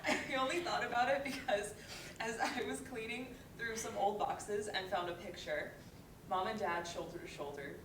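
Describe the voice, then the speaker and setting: A woman's voice: medium pitch, close to an everyday voice. A woman playing a breezy, affectionate older sister in a play reading, chatty and natural. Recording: roomy, distant mic, noisy background.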